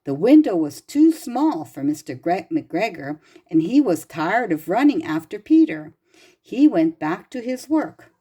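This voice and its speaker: A woman narrates a children's storybook at a slow, careful pace. Her voice is soft-edged and mellow, with plenty of rise and fall in pitch. She reads expressively and gently, as if to small children. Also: sing-song, breathy edge. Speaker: storybook reader.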